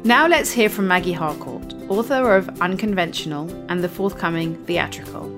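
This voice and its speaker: A woman's voice: fairly low pitch, animated, normal speed, over music. A woman hosting a book podcast, reading a scripted intro and then interviewing her guest with enthusiasm. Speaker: podcast host.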